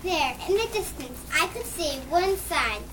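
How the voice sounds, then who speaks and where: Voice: very high-pitched, animated, unhurried, far from the mic, background noise. -. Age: child.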